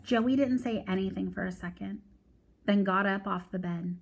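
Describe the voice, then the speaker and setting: A woman's voice: mid-range voice, normal speed, creaky, a little hiss or hum, low-quality recording. Woman reading a story aloud expressively. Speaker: reader of a weekly read-aloud.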